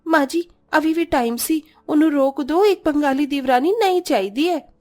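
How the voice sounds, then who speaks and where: A woman's voice: high-pitched, moderate pace, mellow, voice pushed up, natural voice. A woman playing a peevish, dramatic Punjabi elder sister-in-law who whines and complains indignantly in a cartoon story. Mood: whining, upset.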